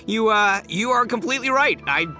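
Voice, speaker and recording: A man's voice: very high voice, animated, moderate pace, sharp tone, soft background music, heavily acted. A man voicing a brash, boastful young beetle-fighting champion in a video game, slangy and boisterous, laughing often. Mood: animated.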